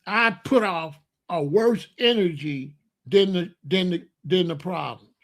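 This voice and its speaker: Emphatic older man, high voice. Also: measured pace. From Chicago, USA. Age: 60s. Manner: emphatic, repetitive, blunt street talk. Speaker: commentator. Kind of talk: opinionated monologue.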